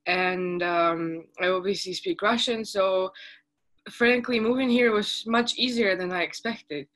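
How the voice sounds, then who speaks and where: A woman from Ukraine, a film student, answering interview questions in a clear, composed way. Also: mid-range voice, unhurried, a bit bright.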